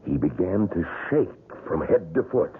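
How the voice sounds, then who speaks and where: An actor playing a grim male wax museum guide who tells the story in a measured, ominous way in a 1970s radio drama. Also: low voice, very animated, slightly rough, heavily acted. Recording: tinny lo-fi radio broadcast.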